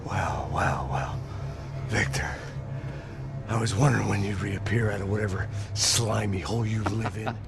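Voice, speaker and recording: An actor playing a hard-bitten, vengeful ex-cop in a video game, gravelly, confrontational and sardonic. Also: male voice, lowish voice, moderate pace. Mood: sardonic contempt. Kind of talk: video game cutscene acting. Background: loud music.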